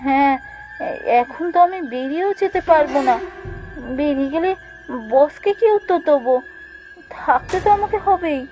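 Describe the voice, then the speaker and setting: An anxious young office worker, acted by a woman, tells a cartoon horror story in a high voice. She draws her phrases out for suspense, with music playing underneath. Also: moderate pace, pauses often, close to an everyday voice, rough recording. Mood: worried. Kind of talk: dramatic first-person horror narration for a cartoon.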